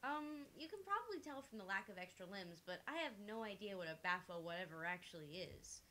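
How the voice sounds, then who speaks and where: A woman's voice: medium pitch, expressive, fairly quick, pushed and bright, pitched below the performer's own voice, low-quality recording. A woman voicing a curious, flippant young student witch in a quippy, conversational way. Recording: hissy background noise.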